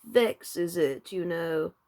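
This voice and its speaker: A woman doing a slow, hoarse old frog. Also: darkish tone. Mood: grumbling. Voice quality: deep hoarse slow drawl. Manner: ungrammatical, muttering.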